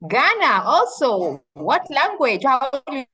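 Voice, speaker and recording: A woman's voice: high-pitched, big pitch swings, slow pace, bright, forceful tone, breathy edge. A woman moderating an online summit over a video call, calling on participants one by one and repeating their words back.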